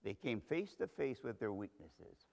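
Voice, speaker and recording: Animated man preaching in an echoing hall. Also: on the low side, unhurried, vocal fry. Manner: dramatic, emphatic storytelling preaching. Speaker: pastor. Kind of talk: sermon. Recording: long hall reverb.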